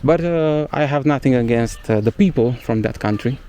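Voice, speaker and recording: A man's voice: mid-range voice, normal speed, warm tone. A young man with a Romanian accent whose first language is Romanian, chatting casually and hesitantly as he walks through a village and searches for English words. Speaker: travel vlogger. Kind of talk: casual walking vlog narration. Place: outdoors in a village.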